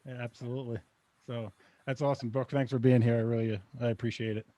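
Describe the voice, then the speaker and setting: A man's voice: mid-range voice, little pitch movement, quick pace, warm tone, no room sound. A man hosting a live show, talking loosely with lots of fillers. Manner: loose, filler-heavy, friendly. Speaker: host.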